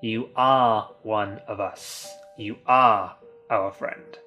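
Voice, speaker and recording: A man playing a self-important group member in a comic web film, speaking with deadpan mock formality. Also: fairly low pitch, drawn-out words, faint music behind, exaggerated voice. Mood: mock solemn.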